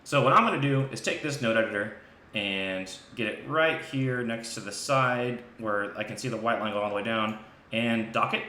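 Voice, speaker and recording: A man hosting a live software tutorial, talking informally and thinking aloud as he demonstrates on screen. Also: on the low side, moderate pace. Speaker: live stream host and software instructor. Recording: echoey room with faint background noise.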